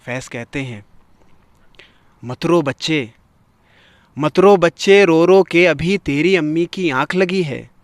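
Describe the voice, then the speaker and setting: A man hosting a poetry gathering, reciting verse and commenting on it in a measured, expressive, literary way. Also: fairly high pitch, dry recording.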